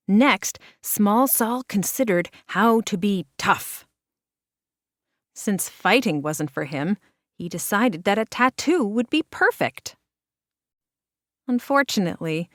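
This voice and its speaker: A woman reading a children's picture book aloud in an expressive, measured narration with deliberate dramatic pauses. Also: medium pitch, dry recording, clean studio sound. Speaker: children's storybook reader.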